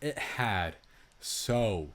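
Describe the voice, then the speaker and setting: A man's voice: lowish voice, animated, very slow, fairly bright tone. A man co-hosting a film review podcast, chatting casually and animatedly with some swearing.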